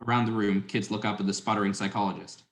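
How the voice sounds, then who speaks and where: A man's voice: fairly low pitch, little pitch movement, fast talker. A young adult man reading scripted stage directions as a narrator. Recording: echoey, reverberant room.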